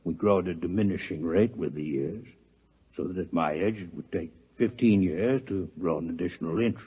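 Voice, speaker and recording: An actor playing a courteous, scholarly alien doctor in a 1950s radio drama, speaking formally, precisely and gravely in a husky voice. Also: male voice, low voice, moderate pace. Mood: grave, fascinated. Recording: muffled vintage 1950s radio recording.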